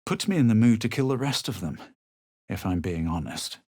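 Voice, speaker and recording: Video game hero, a self-assured, superior adventurer with a lowish voice, recorded dry and close. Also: male voice, animated, moderate pace, darkish tone, no room sound. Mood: measured, superior. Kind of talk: video game character voice lines.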